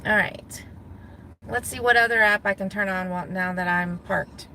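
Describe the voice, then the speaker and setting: Woman, from Guam, casual vlog chat, bright tone, faint car noise. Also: medium pitch, fairly even intonation, moderate pace, low-quality recording. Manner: chatty, laughs easily, thinks out loud. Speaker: gig delivery driver vlogger. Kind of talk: casual talking to viewers while driving. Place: inside a parked car.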